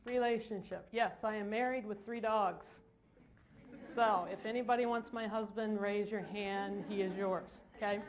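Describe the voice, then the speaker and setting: A woman's voice: medium pitch, fairly even intonation, normal speed, slight background noise. A woman clinician giving an informal lecture in a large hall, with jokey, self-deprecating asides. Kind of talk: informal lecture to a live audience.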